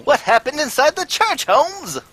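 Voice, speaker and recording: An earnest Victorian gentleman doctor, acted out in a live reading, recounts his adventure like an old-time radio storyteller. The pitch leaps about with excitement. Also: measured pace, full character voice. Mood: earnest, excited.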